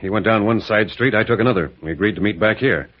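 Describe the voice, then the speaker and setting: A man's voice: on the low side, fast, lightly acted. A man playing a blunt, combative adventurer captain with casual plain speech in an old radio drama. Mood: brisk, puzzled. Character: brash, plain-spoken American adventurer captain. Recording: muffled vintage radio drama recording.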